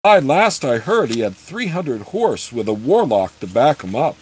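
A man's voice: medium pitch, sing-song, moderate pace, slightly rough, rough recording. A man voicing a persuasive, chummy rogue who leads a band, in a storybook reading. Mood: eager, persuasive. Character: smooth-talking, persuasive male rogue leader of beggar band.

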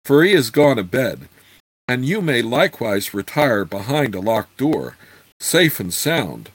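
A man's voice: medium pitch, very animated, measured pace, slightly rough, no room sound, low-quality recording. A man voicing a polite, gallant male elf adventurer with formal, courteous phrasing, in a storybook reading. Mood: reassuring.

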